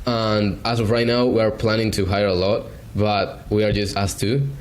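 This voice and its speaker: A man from Argentina pitching agency services on a video call, hesitant and full of fillers. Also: little pitch movement, moderate pace. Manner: hesitant, filler-heavy, casual. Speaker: beginner agency salesman.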